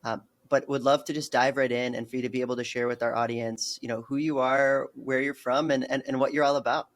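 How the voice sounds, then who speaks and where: A man's voice: mid-range voice, level tone, fairly quick. A man hosting a podcast as a coach, upbeat and welcoming as he brings on a guest. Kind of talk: podcast interview.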